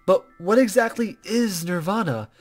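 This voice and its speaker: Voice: mid-range voice, expressive, moderate pace, soft background music, lightly acted. A player voicing a serene, coy female spirit guide from a visual novel, speaking in formal, teasing riddles. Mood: solemn, cryptic. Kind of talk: visual novel character read-aloud.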